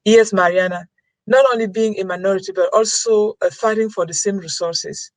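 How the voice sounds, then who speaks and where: A woman's voice: medium pitch, fairly even intonation, normal speed, no room sound. A woman giving an earnest webinar talk, repeating key phrases for emphasis over a computer mic. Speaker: webinar presenter.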